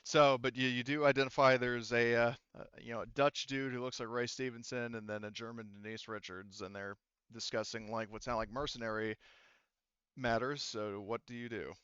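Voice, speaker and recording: A man with a smooth, clear voice runs a tabletop roleplaying game. He talks casually and swears now and then. The sound is close and dry. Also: medium pitch, fairly even intonation, moderate pace, a bit bright, dry recording, lo-fi sound. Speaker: game master.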